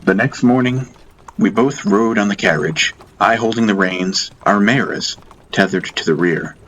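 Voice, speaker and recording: A man narrating a first-person fantasy audiobook in deliberate, dramatic short phrases. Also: lowish voice, fairly bright tone, a little hiss or hum, poor audio. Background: music.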